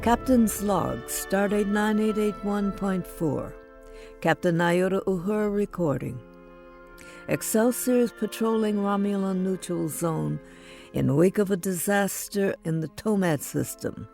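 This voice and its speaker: An older female starship captain in a science fiction audio drama. She is reflective and weary, with an unhurried delivery and fry in her voice. Light music plays underneath. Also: mid-range voice, dark tone. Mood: formal, measured.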